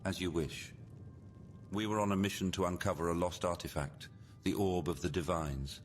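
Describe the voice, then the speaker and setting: Man as deep-voiced, secretive fantasy mage. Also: steady tone, moderate pace, faint music behind, lightly acted. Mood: solemn. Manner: formal, guarded phrasing. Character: secretive, solemn male expedition member of a mage college. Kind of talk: scripted fantasy game dialogue.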